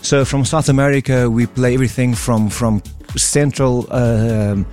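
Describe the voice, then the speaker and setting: A man's voice: medium pitch, steady tone, measured pace, darkish tone, soft background music. A man from Angola and Portugal giving friendly, agreeable answers as a musician guest in a casual radio interview.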